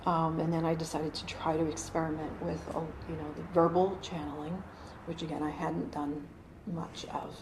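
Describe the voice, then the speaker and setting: Woman in her 40s chatting to a few people in her living room, lowish voice, lots of ums, roomy sound with a faint hum. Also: level tone, normal speed, hardly any pauses. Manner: chatty, many fillers and restarts, soft laughs. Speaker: channeler. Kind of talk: casual explanation to a small group.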